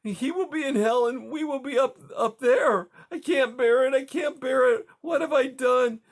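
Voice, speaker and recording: Tearful grieving woman, read by a man, high pitched, halting and breathless. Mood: anguished. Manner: breathless, stammering, rising to hysteria. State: crying.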